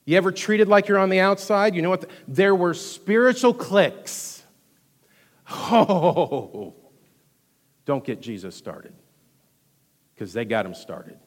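A man with a Texan accent preaching a sermon as pastor, rushing through some lines and dropping to hushed asides between louder, emphatic ones. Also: high-pitched. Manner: animated preaching, swings from loud emphasis to hushed asides, quick delivery. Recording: long hall reverb.

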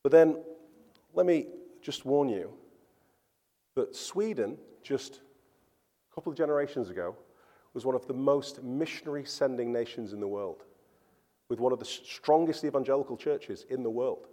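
Pastor preaching in an echoing hall. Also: male voice, mid-range voice, normal speed, pauses often, mellow. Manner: earnest, emphatic, repeats words when stressing points. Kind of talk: sermon. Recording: church hall with long reverb.

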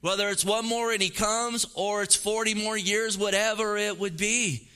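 A man in his fifties delivers a sermon with earnest, repetitive emphasis. His voice sits high for a man and rings very bright and smooth. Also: steady tone, moderate pace. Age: 50s. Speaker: pastor.

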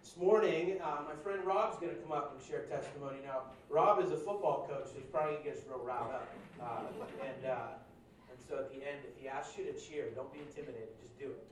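A male pastor giving casual church announcements and introducing a speaker, joking and filling pauses with "uh". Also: medium pitch, crowd noise. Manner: casual, joking, loose with fillers. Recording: echoey room, distant mic, audible noise.

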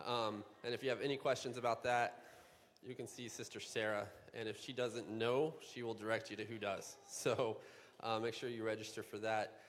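A man's voice: lowish voice, normal speed. A man, a youth pastor, giving upbeat, joking church announcements before introducing a skit. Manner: upbeat, joking, with bursts of excitement. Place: church sanctuary. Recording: long church reverb.

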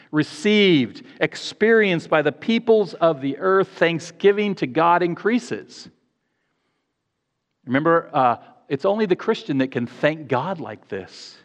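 A man delivering a Sunday sermon with earnest, measured phrasing and a voice pitched a bit high for a man. His words ring out in a church hall with a long, lingering reverb. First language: English. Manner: earnest, measured preaching with pauses and self-corrections. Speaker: pastor.

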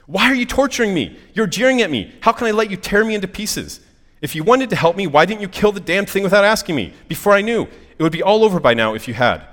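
A man's voice: high voice, expressive, fast, a bit bright, long reverb tail. A man playing a cowardly, flustered ghost who whines and makes polite, nervous excuses. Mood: anguished, accusing. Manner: flustered, apologetic, whimpering, polite English phrasing. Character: anxious, cowardly, whining male ghost, full of excuses.

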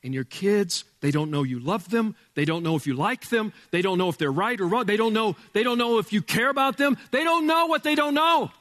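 A male pastor with a loud voice preaching a sermon in a church, emphatic, dropping from booming to hushed. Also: brisk, hardly any pauses, in a large hall. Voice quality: loud speaking voice. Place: church sanctuary with sound board.

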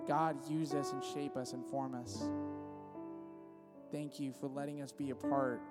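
A man's voice: medium pitch, flat delivery, unhurried, over loud music. A young man from California leading worship, casually introducing his band and then praying aloud in a loose, friendly way. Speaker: worship leader. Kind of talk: church service intro and spoken prayer. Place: church sanctuary. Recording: long reverb of a large church hall.

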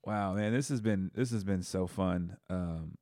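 Podcast host, low-voiced man, encouraging and affirming, dry close sound. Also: measured pace, darkish tone, no echo. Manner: affirming, encouraging, lots of "wow" and "yeah". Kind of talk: casual interview.

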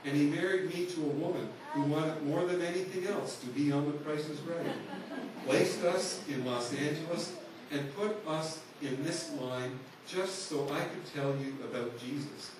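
A male pastor tells a story from the pulpit in an earnest, conversational way, his voice a little high. The mic sits far off in a big, very echoey sanctuary. A noisy hiss runs beneath him. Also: moderate pace. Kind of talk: sermon.

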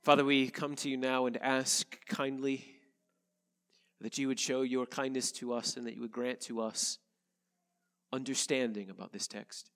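A male pastor, a little nasal from a head cold, reading scripture and preaching in an earnest, measured way. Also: medium pitch, fairly even intonation, a bit bright, big-room sound. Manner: earnest, measured preaching with slow emphatic phrases. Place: church sanctuary.

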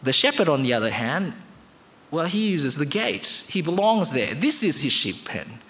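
A man from Indonesia, speaking English as his second language, preaching a sermon with emphatic highs and quiet lows. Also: big pitch swings, moderate pace. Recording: reverberant hall.

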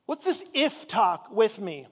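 A man from Chile preaches in a relaxed, conversational way, slowing down and drawing out important words. His voice sits high for a man. The sound carries a long reverberant tail from a church hall. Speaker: pastor. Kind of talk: sermon. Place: church sanctuary.

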